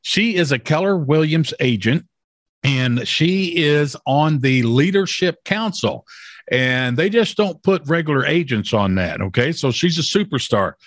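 A man's voice: a little high, lively intonation, a little slow, no room sound, clean studio sound. A man hosting an interview who talks emphatically, repeats his points and often checks in with "okay?". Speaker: interview host. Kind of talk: promotional interview.

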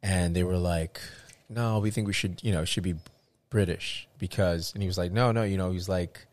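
A man's voice: deep voice, steady tone, moderate pace, darkish tone. A man talking in casual chat as a show host, rambling with many restarts and fillers.

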